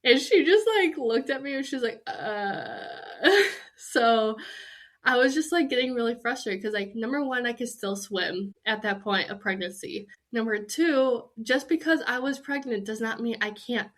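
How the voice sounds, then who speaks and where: A woman's voice: on the high side, lively intonation, normal speed. A young woman hosting a podcast, talking casually and candidly with a slightly nasally voice from a cold and lots of "like" fillers. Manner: chatty and candid, with many "like" fillers. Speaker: podcast host. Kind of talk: casual chat.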